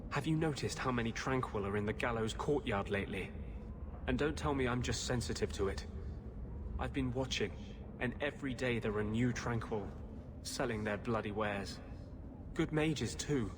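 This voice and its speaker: A man's voice: medium pitch, moderate pace, close to an everyday voice. Guy playing a bitter rebel wizard in a game, mid voice, earnest and insistent, noisy background. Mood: indignant. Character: intense, bitter, principled rebel mage man. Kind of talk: fantasy video game acting. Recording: clearly noisy game audio.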